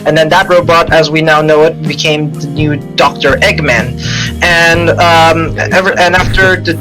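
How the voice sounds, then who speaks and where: A man in a casual podcast chat, explaining something at length in a halting, repetitive, enthusiastic way. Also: on the high side, steady tone, rough recording. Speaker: podcast co-host. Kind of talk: casual chat, long rambling explanation of comic lore. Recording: music behind the voice. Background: music with guitar.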